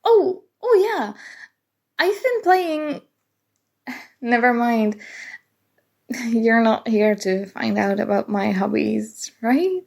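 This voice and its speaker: A young woman playing an awkward, nerdy college student in an ASMR roleplay, flustered and halting, prone to self-deprecating rambling. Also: a little high, animated, gravelly, a little mellow, heavily acted. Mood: eager, geeky rambling. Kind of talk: ASMR roleplay, acted casual conversation.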